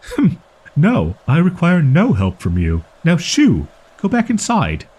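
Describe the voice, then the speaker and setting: Man voicing a proud, husky tsundere wolf-boy. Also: fairly high pitch, wildly swooping pitch, slow, darkish tone, exaggerated voice. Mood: indignant, defensive. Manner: haughty, stilted archaic diction, defensive stammering. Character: proud, flustered tsundere young wolf-boy with archaic speech. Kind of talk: roleplay audio drama monologue addressed to listener.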